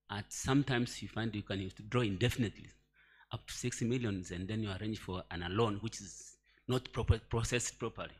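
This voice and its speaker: Male conference delegate, fairly deep voice, formal but halting delivery, asking from the floor. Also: slightly rough. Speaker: audience member asking questions. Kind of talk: conference question and comment from the floor. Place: conference hall.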